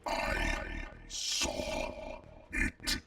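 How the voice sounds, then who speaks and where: A man playing a stern, angry male dragon, a betrayed father, in a gravelly growl for an audio drama. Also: drawn-out words, far from the mic, over loud music. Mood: low, stunned menace.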